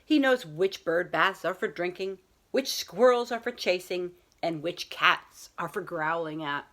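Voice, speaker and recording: A woman's voice: fairly low pitch, expressive, measured pace, fairly bright tone. A woman reading a picture book aloud as a school librarian, talking to young children in simple, explanatory phrasing.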